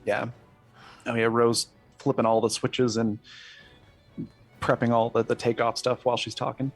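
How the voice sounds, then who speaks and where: Male tabletop player, medium pitch, casual and hesitant improvised delivery, music underneath. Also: little pitch movement, moderate pace. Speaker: player in a tabletop role-playing game. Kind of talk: casual improvised game play.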